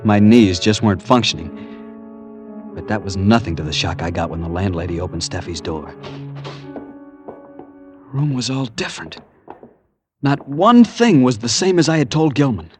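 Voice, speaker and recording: A man's voice: lowish voice, expressive, moderate pace, long pauses, close to an everyday voice. An actor playing a desperate, earnest young American man, narrating and pleading in 1940s radio suspense style. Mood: tense, narrating. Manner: earnest, nervy, dramatic. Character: anxious, desperate young man hunting his missing fiancee. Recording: vintage radio drama, music behind.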